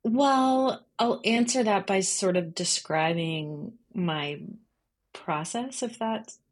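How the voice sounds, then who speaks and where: A woman's voice: medium pitch, slow. A woman answering interview questions conversationally as a guest journalist.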